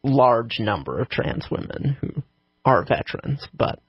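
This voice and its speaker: A radio co-host with a gravelly, husky voice, bantering casually with dry, deadpan humor. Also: mid-range voice, measured pace, no room sound, lo-fi sound.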